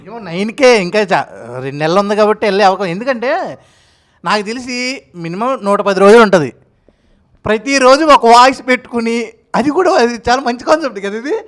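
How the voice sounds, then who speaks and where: A woman's voice: on the high side, lively intonation, brisk. A woman interviewing in a chatty, effusive and encouraging way.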